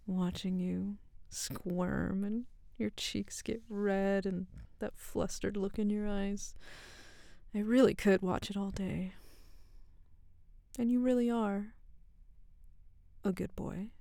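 A woman speaks slowly in a soft, teasing whisper, right up against the mic. Her tone is mellow and warm, with a gravelly fry under it. The sound is dry, with no room around it. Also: medium pitch, steady tone, no room sound. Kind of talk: ASMR roleplay.

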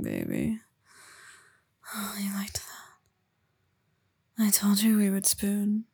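Breathy whispering woman, slow and gravelly. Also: dry recording. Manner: soft breathy whispering, close to the listener; low murmured coaxing with sighs. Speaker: audio roleplay performer. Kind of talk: intimate whispered audio roleplay.

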